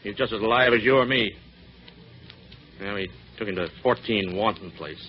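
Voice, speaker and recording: Casting: actor as crooked cabbie, very deep male voice, rough street talk, dull old radio sound. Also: normal speed, close to an everyday voice. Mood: cornered, evasive. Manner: rough colloquial speech, evasive. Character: rough, shady crooked cab driver. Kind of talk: 1940s radio acting. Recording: dull, faintly noisy vintage radio recording.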